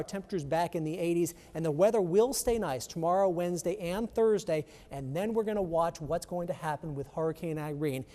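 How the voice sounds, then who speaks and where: American guy doing tv weather, high mellow voice, explains stuff step by step, big reverb on it. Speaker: TV weather forecaster. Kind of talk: broadcast weather forecast commentary.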